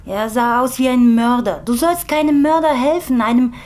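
A woman's voice: fairly high pitch, moderate pace, a little mellow, lightly acted. A woman playing a worried, insistent girlfriend in radio drama dialogue. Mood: indignant, upset. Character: worried, insistent girlfriend pleading with her partner.

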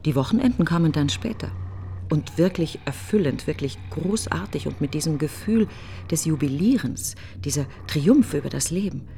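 An actress playing a bitter, grieving middle-aged woman in a literary radio monologue, breathy and brooding, speaking in insistent fragments. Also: low-pitched, lively intonation, normal speed, mellow, a little hiss or hum. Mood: wistful, intent.

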